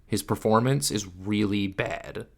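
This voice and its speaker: A man's voice: on the low side, unhurried, creaky. A man narrating a scripted but conversational film review in an opinionated, matter-of-fact manner. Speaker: video essayist and film reviewer.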